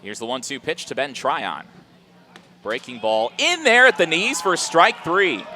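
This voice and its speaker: A man's voice: fairly high pitch, animated, unhurried, sharp tone, slight background noise. A man doing live baseball play-by-play for a radio broadcast from an outdoor ballpark. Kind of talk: live sports commentary.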